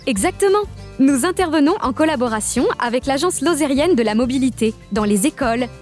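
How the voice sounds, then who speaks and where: A woman from a regional nature park staff, giving upbeat scripted narration for an animated explainer. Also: high voice, faint music behind. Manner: upbeat, informative, lists facts briskly.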